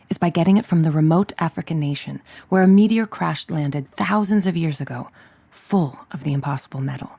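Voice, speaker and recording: Cold, commanding villain scientist, very dry sound. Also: female voice, low-pitched, gravelly, mellow, husky, dry recording, heavily acted. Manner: clipped, authoritative, precise articulation. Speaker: game voice actress. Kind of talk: dramatic video game voice acting.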